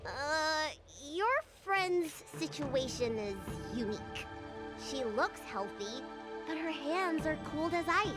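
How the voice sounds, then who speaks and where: A high-pitched, bouncy young girl healer from a video game. She is excitable and easily flustered, stammering and swinging widely in pitch. Her delivery is unhurried, with loud game music behind her. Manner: expressive, stammering when flustered.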